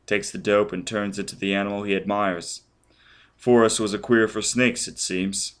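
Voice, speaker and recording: A man's voice: lowish voice, flat delivery, moderate pace, smooth, natural voice. A man voicing a ruthless, vengeful man who barks demands and threats. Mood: cold. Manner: menacing, barking demands. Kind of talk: audiobook character voice.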